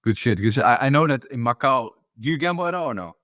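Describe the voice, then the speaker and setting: A man's voice: medium pitch, lively intonation, fast, fairly bright tone, no echo, studio quality. A young man who grew up in the Netherlands, hosting a casual interview podcast, with loose talk full of repeated words and "bro". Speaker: podcast host.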